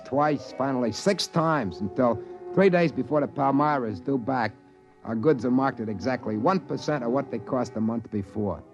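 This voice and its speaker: A man's voice: mid-range voice, a little mellow, close to an everyday voice. An American actor playing a folksy, easygoing trading-post man who narrates his tale plainly in a 1950s radio drama. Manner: plain-spoken, folksy, colloquial. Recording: dull vintage radio recording, music underneath.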